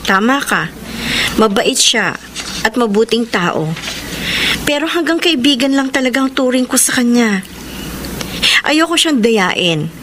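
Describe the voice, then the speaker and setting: An anguished young Filipino woman in a radio drama. Her high voice is plaintive and sorrowful. The recording carries clear background noise. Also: big pitch swings, a little slow, long pauses, a bit bright. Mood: sad, resigned. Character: anguished, abandoned young woman, sincere and torn.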